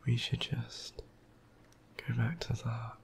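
A young man speaks in a hushed, heavily gravelly whisper, worn out and croaky. His voice sits low and his delivery is slow and drawn out, murmured close to the microphone. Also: monotone. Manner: soft, affectionate whispering with quiet laughs. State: tired. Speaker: ASMR boyfriend roleplayer. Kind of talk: ASMR roleplay.

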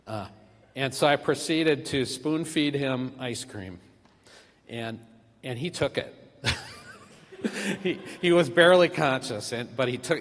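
A middle-aged man giving a eulogy for his father at a church podium, speaking haltingly with many fillers and restarts. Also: mid-range voice, fairly bright tone. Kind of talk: memorial eulogy. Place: large church sanctuary. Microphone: podium microphone. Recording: reverberant church hall.

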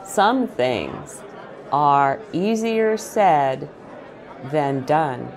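A woman voicing a grave, wise old male mouse from a storybook, speaking in a measured, pointed way. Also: sits low, big pitch swings, crowd noise, full character voice. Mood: grave, knowing.

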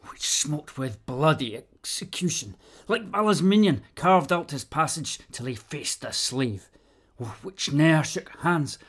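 A wounded, loyal soldier played by a man gives his battle report in a slightly high male voice, in classical radio drama style.

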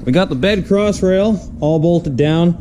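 A man calmly explaining each step of his workshop build, filmed on an action camera in a large garage. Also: high voice, measured pace, noisy background. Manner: relaxed, explains steps as he goes. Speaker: garage builder showing a project. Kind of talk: casual how-to workshop vlog. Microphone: handheld or body-worn action camera.

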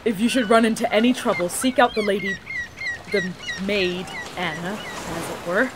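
A woman playing an earnest, high-minded young woman in a fantasy audio drama, speaking formally in measured, archaic phrases. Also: background noise, close to an everyday voice. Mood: solemn, earnest.

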